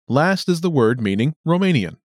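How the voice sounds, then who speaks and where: A man hosting a scripted language lesson with clear, upbeat announcer delivery. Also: a little high, big pitch swings, normal speed, darkish tone, no room sound, clean studio sound. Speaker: lesson host.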